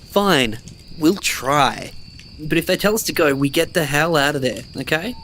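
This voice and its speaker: A man's voice: fairly high pitch, moderate pace, a bit bright. Male survivor for apocalyptic audio drama, actor pragmatic, blunt, exasperated, naturalistic delivery, faint background noise. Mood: firm. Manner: blunt, argumentative.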